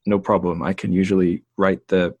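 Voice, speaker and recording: A man's voice: deep voice, normal speed, breathy edge, dry recording, lo-fi sound. A young man hosting a book podcast, chatting casually with many hesitations and ums. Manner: relaxed, hesitant with frequent ums and restarts. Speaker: podcast host. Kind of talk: casual interview chat.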